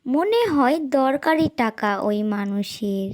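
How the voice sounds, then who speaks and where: A woman voicing an honest, gentle little schoolgirl in an Islamic moral cartoon, speaking earnestly and politely. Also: sits high, lively intonation. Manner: earnest, polite, slow and deliberate.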